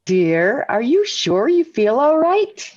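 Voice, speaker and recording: An actress playing a gentle, sensible, middle-aged American wife and mother in a 1940s radio sitcom, speaking in a motherly way. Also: a little high, big pitch swings, full character voice. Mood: gently concerned. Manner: measured, motherly. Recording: dull vintage 1940s radio recording.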